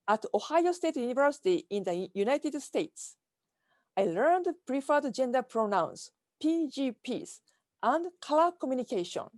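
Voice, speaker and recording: Lively-pitched woman with a Japanese accent. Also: no room sound, studio quality. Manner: careful, measured delivery reading from slides. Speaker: keynote speaker. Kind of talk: prepared conference talk. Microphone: video call.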